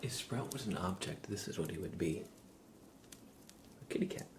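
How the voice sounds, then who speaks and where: A man chatting casually on an ASMR livestream, soft-spoken and halting, often slipping into a whisper. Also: low-pitched, expressive, noisy background.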